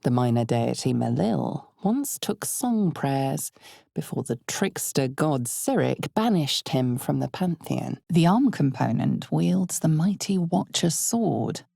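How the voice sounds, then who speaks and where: Female narrator for dark fantasy game: ominous, knowing, low and gravelly, measured dramatic delivery, close dry recording. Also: expressive, no room sound, heavily acted. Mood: formal, storytelling. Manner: measured, dramatic delivery, often dropping to breathy near-whisper. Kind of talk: scripted second-person fantasy game narration.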